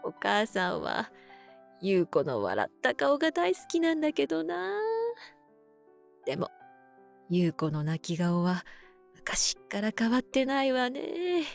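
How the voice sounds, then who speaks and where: Slow, tender elderly mother. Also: on the high side, huge pitch swings, gravelly, faint music behind, heavily acted. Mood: weak, tender. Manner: soft, tender, unhurried. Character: frail, gentle elderly mother, tenderly reminiscing. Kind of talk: voice drama acting and first-person narration.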